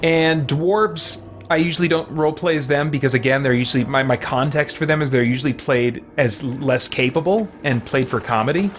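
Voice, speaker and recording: A man's voice: mid-range voice, a little fast, hardly any pauses, slight background noise. A man giving casual, rambling live commentary as a game streamer. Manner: rambling, conversational. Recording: music behind the voice. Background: game music.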